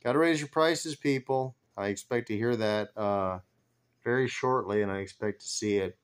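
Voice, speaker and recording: Low-pitched man with a clear, smooth voice. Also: normal speed. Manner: rambling, thinking aloud while reading figures. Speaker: stock commentator. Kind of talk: informal screen-share commentary on company finances.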